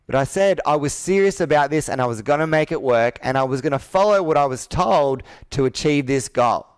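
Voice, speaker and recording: A man's voice: a little high, animated, brisk, smooth, no echo. A man in his thirties coaching on a sales call, telling personal stories earnestly and asking persuasive rhetorical questions. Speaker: business coach. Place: indoor room with whiteboard, video call.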